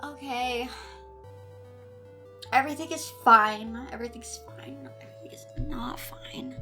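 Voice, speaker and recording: High bright girly voice, woman reading a story out dramatically w sarcastic asides, music behind. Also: measured pace. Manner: theatrical, incredulous, sarcastic asides. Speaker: host reading fan fiction aloud with commentary. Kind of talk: dramatic read-aloud with exasperated commentary.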